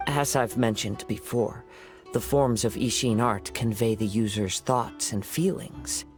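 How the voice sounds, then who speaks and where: An actor voicing a calm, perceptive young swordsman who speaks in a measured, analytical way. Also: mid-range voice, soft background music, close to an everyday voice. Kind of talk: game voice acting. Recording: game soundtrack under voice.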